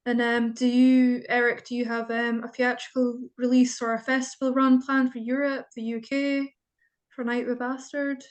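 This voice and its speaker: A woman's voice: on the high side, flat delivery, moderate pace. A Scottish woman interviewing guests, asking questions hesitantly with many ums.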